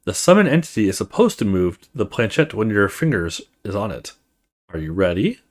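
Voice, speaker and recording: A man reading a horror visual novel aloud as a let's play host, with expressive storytelling and casual asides. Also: fairly low pitch, brisk.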